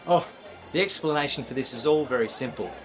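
A man's voice: medium pitch, a little fast, soft background music, lightly acted. A man playing an easygoing, apologetic neighbour in an old-time radio play, casual and mild.

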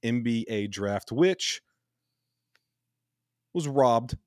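A man's voice: mid-range voice, lively intonation, drawn-out words, darkish tone, no echo, clean studio sound. A man in his thirties co-hosting a casual sports podcast, upbeat and joking, swearing now and then. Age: 30s. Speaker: podcast co-host.

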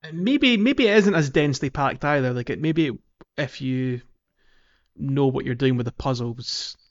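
A man hosting a podcast, talking casually and haltingly through a video game walkthrough, thinking aloud as he goes. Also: mid-range voice, expressive, highs cut off. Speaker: podcast host.

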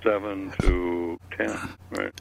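A man's voice: deep voice, little pitch movement, slow, vocal fry. An elderly man in his eighties reminiscing casually in a rambling way, often repeating his words. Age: 80s. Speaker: panelist. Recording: faint background noise.

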